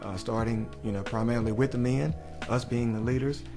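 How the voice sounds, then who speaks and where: A man of middle pitch explains his mentoring group on a community radio show. He talks steadily, with plenty of "uh"s and restarts, and music plays underneath. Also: fairly even intonation. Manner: measured, restarting with many fillers. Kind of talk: radio interview talk.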